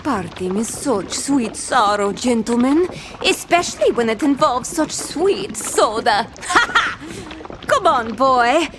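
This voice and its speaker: A woman's voice: fairly high pitch, big pitch swings, slow pace, very noisy. A woman playing a brash, fame-hungry pirate captain who talks in theatrical old-time pirate speak in a scripted audio adventure. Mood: gleeful, dramatic. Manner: theatrical, mock-archaic pirate talk.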